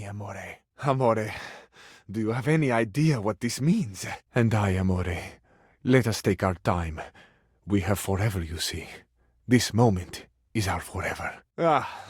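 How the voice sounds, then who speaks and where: A suave, grandiose Italian romantic for a video game. He has a medium-pitched voice and an Italian accent, and he speaks in ornate, amorous, melodramatic phrases. The recording is dry and studio-clean. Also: no room sound. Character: romantic, grandiose Italian household object come to life, suave male lover. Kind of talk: theatrical video game character acting.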